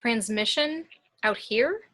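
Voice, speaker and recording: A warrant officer aboard a commercial starship, acted by a woman at a table read. Her voice sits a little high, and she speaks her lines as part of a science fiction script. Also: animated, slow pace, fairly bright tone, full character voice. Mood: businesslike.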